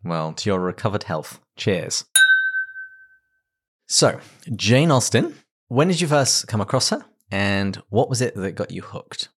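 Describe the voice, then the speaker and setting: Measured, low-voiced male podcast host. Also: sing-song, slightly rough. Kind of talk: scripted intro, then conversation.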